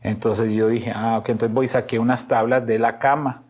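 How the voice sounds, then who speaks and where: A man's voice: medium pitch, little pitch movement, moderate pace, darkish tone. A middle-aged Colombian man with a paisa accent, telling personal stories casually as a podcast guest and acting out bits of dialogue. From Medellín, Antioquia, Colombia.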